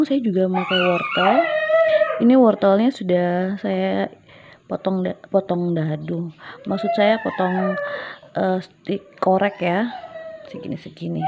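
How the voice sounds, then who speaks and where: A woman walks through a recipe in her home kitchen, friendly and explanatory, pausing often on little hesitations. Her voice sits at an ordinary middle pitch. The audio is a little dull, with a faint hum of kitchen noise behind her. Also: vocal fry. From Papua, Indonesia. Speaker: cooking vlogger. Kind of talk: casual cooking demonstration.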